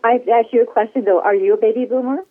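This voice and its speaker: A woman's voice: fairly high pitch, rapid-fire, a crowd in the background. A woman in her sixties from the United States, a guest talking in a bubbly, casual way and laughing often. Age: 60s. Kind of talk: casual interview. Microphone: phone call. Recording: tinny phone line.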